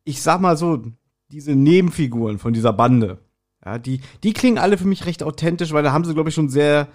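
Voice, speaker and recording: A man's voice: on the high side, expressive, brisk, a little mellow. A man co-hosting a podcast, explaining his views in a colloquial, casual review chat. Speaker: podcast co-host. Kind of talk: casual review chat about audio dramas.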